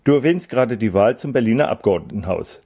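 Male radio host and interviewer, scripted and measured delivery, relaxed warm timbre. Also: medium pitch, slightly rough. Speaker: radio magazine presenter and interviewer.

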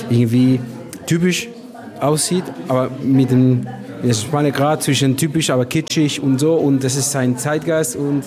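A man from Argentina, a Spanish speaker, reflecting haltingly as he searches for words. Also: medium pitch. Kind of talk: reflective interview talk.

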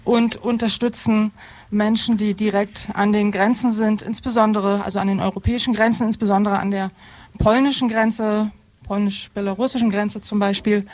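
A woman's voice: medium pitch, a little mellow. A woman activist informally introducing her local group at a live panel. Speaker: member of a local refugee aid group.

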